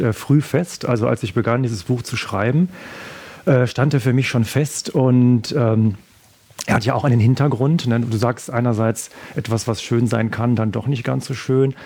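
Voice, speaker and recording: A man, bilingual with Italian as his first language, answering questions about his debut novel in a measured way, pausing often with fillers. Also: mid-range voice, a little mellow, husky. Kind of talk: thoughtful interview answers.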